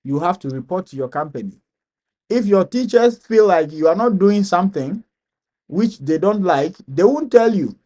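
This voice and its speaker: A man from Ghana hosting a live stream Q&A, explaining steps slowly and repeating key points. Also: high-pitched, lively intonation, darkish tone. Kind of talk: live Q&A advice.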